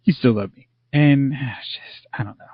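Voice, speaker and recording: A man's voice: mid-range voice, normal speed, husky, dry recording. Opinionated man on dry lo-fi audio. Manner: opinionated, sighing, complaining. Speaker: podcast host. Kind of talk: casual chat.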